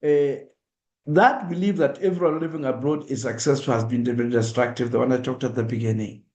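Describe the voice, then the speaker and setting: A man's voice: medium pitch, fairly even intonation, fairly quick, a little mellow. Kenyan man speaking in an echoey room. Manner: earnest and direct, acts out examples in first person. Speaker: speaker at a diaspora awareness event. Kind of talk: public talk.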